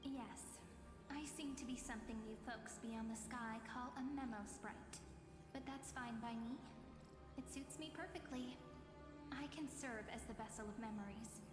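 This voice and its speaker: An actress playing a gentle, self-assured young heroine who speaks with earnest, polite hope in a video game. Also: female voice, a little high, normal speed, lightly acted. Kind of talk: video game voice acting, earnest dramatic dialogue. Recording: echoey reverb under loud music.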